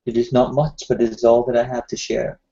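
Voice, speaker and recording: A man playing a tabletop game, speaking in a slow, formal way in character. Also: medium pitch, level tone, mellow, highs cut off. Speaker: player. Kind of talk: tabletop roleplay, partly in character.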